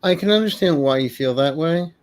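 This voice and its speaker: A man's voice: a little high, a little fast. A man co-hosting a casual livestream, speaking in an emphatic, encouraging and preachy way. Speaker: co-host.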